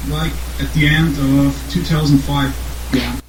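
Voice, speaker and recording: A man's voice: a little high, steady tone, unhurried. Hesitant Slovene-accented young man, echoey. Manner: hesitant, many fillers and restarts. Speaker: band songwriter. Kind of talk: casual interview answers. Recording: echoey room with noise.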